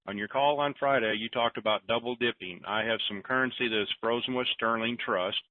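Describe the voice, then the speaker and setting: A man's voice: medium pitch, dry recording. A man hosting a conference call, reading listener questions aloud and answering in a rambling, self-deprecating, joking way. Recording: tinny phone line.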